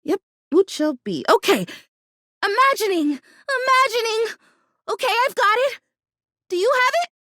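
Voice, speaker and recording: A woman voicing an earnest, excitable houseplant who dreams of being real, as a video game character with dramatic, expressive delivery. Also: very high voice, moderate pace, no echo, clean studio sound, full character voice. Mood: eager, coaxing.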